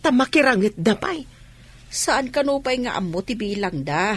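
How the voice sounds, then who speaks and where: Woman acting an older village mother, radio drama, Ilocano accent, rough-edged voice, anxious, plaintive. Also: fairly high pitch, lively intonation, normal speed, a bit bright, exaggerated voice. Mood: anxious, fretful.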